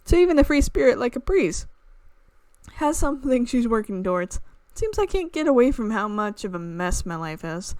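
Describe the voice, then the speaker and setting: A woman's voice: on the high side, very animated, normal speed, a little mellow, no room sound. A woman reading a visual novel aloud as a let's player, with her own asides. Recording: music behind the voice. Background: game music.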